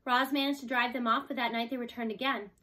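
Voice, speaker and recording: A woman reading a children's book aloud as an expressive scripted narration. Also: on the high side, fast talker. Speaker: storybook reader.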